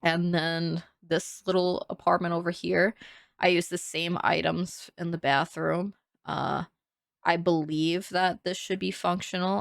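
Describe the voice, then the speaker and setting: A young woman talks in a low, gravelly voice with a nasal, congested edge. She rambles in a chatty way and laughs often, recorded dry and close with no room sound. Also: no room sound. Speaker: Sims builder narrating a speed build. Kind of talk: casual voice-over commentary.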